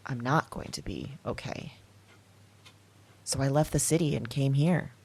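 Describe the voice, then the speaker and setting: A woman playing an afraid, defiant older outcast hiding alone, in an intimate audio drama monologue with halting, emphatic phrases. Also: extremely low pitch, gravelly, darkish tone, husky, heavily acted. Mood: fearful, defiant.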